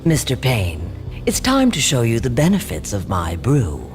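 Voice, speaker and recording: A woman's voice: very deep voice, wildly swooping pitch, measured pace, over music, heavily acted. An actress playing a cold, mocking female crime boss in a game, sardonic and menacing. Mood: mocking menace.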